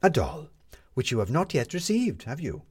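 A man's voice: a little high, wildly swooping pitch, moderate pace, breathy edge, voice pushed up, full character voice. A man voicing a commanding, wry woman reporter in a story, articulate and sardonic as she lectures. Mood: cutting.

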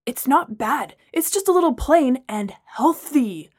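A woman's voice: high-pitched, lively intonation, measured pace, voice pushed up. Woman as a young male health nut, pompous, pushy, superior, lecturing, audiobook character. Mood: insistent, lecturing.

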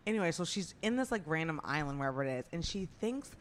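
A woman's voice: low voice, expressive, quick pace. A woman co-hosting a podcast, chatting casually about celebrity gossip and rambling with lots of "like". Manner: rambling, heavy use of "like". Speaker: podcast co-host.

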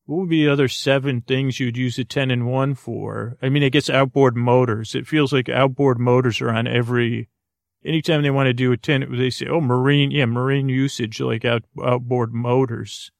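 A man with a creaky voice hosting a bedtime podcast, musing aloud in a hesitant, meandering way and circling back on words. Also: mid-range voice, fairly even intonation, moderate pace, no room sound, studio quality. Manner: musing, asks themselves questions. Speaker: podcast host.

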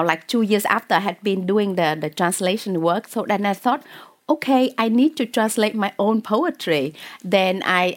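A woman with a Vietnamese accent speaks in a conversational interview as an author. She talks in a hesitant way, with frequent fillers and restarts, and her pitch sits in a middle range. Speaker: author and poet.

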